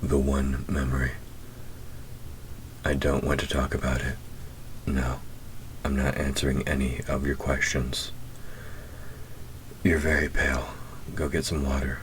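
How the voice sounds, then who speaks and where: An extremely deep-voiced male demon, acted by a man speaks slowly and intimately, teasing and flirting in breathy murmurs. It plays as a roleplay audio drama, with faint noise behind the voice. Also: level tone. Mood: withdrawn, curt. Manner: slow, intimate, breathy, drifting into whispers.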